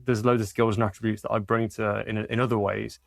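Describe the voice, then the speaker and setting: A man's voice: fairly low pitch, level tone, quick pace, creaky, dry recording, studio quality. A man talking casually as a podcast guest, rambling and repeating phrases for emphasis. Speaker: podcast guest, music educator. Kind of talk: casual chat.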